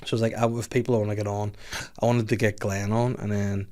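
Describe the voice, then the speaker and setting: Male host, 30s, lower voice, jokey and sweary. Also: flat delivery, fast talker, dark tone. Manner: jokey, swears freely, acts out quotes. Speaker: podcast host. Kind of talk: casual chat.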